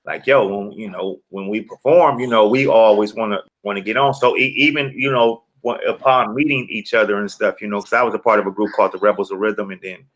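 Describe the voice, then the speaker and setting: A man, talking in a rambling, relaxed way full of "you know" fillers as a guest in an interview. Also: on the low side, fairly quick, few pauses, low-quality recording. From South Central Los Angeles. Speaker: guest rapper. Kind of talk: casual interview talk.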